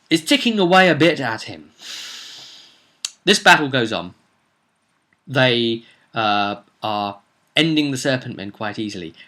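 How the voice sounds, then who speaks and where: A man, a game master, casually recounting a tabletop roleplay session in an animated, conversational way. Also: mid-range voice. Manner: animated storyteller, conversational asides and tag questions.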